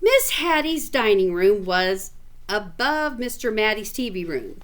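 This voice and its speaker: A woman reading a picture book aloud in an animated, expressive way, with chatty asides to the listener. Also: medium pitch, unhurried. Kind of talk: animated storybook read-aloud with casual asides.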